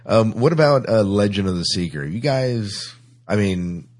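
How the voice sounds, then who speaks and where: A man's voice: lowish voice, lively intonation, a little slow, a little mellow, no room sound, clean studio sound. A man hosting a casual chat about TV shows, agreeable and often repeating short affirmations. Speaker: podcast host.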